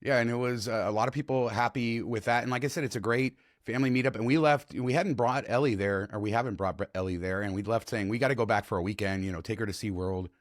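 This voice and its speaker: A man hosting a travel podcast, chatty and enthusiastic, his voice slightly hoarse, on a close podcast mic. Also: on the low side, quick pace, hardly any pauses, dry recording. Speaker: podcast host. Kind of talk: casual travel podcast chat.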